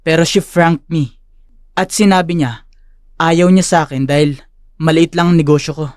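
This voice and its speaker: A man's voice: fairly high pitch, fairly even intonation, moderate pace, smooth, no echo, clean studio sound, close to an everyday voice. A man playing a friendly, smitten young corporate executive in an audio drama. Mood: surprised.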